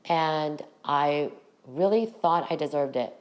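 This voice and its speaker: A middle-aged woman with a raspy voice, hosting her own podcast and talking frankly to her viewers about her past. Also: low-pitched, slow pace. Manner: candid, direct address to viewers. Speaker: podcast host. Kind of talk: personal storytelling to camera.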